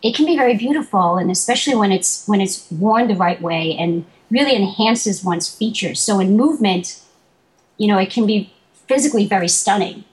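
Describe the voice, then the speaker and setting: A young woman from Boston talks as an interview guest. Her voice sits at a middle pitch. She answers thoughtfully, circling back with fillers and restarts. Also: expressive, normal speed, rough recording. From Boston, United States. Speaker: interview guest, martial artist. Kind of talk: casual interview talk.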